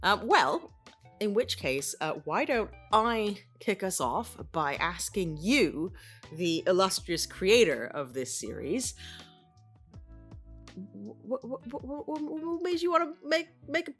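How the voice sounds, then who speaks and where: A woman asks questions in a casual, playful chat. Her intonation is animated and swings widely, with teasing mock formality. Faint music sits behind her voice. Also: medium pitch, slow, breathy edge. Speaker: voice actor and podcast co-host.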